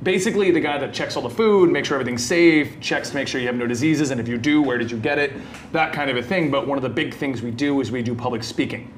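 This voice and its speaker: A man's voice: medium pitch, quick pace. A man appearing as a guest, upbeat and joking as he talks about voice work. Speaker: guest, comics YouTuber. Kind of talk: casual chat. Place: loft above a store during a convention. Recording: echoey room with background noise.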